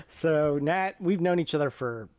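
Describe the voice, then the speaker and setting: A middle-aged man hosting a podcast in a casual, halting way, thinking aloud as he talks. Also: on the high side, darkish tone, no echo. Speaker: podcast host.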